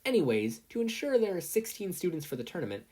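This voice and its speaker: A woman's voice: on the low side, sing-song, fast, dark tone, slightly rough, pitched above the performer's own voice. A woman voicing a bold, commanding female hero referee in an audiobook-style story, projecting like an announcer. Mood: brisk, unapologetic.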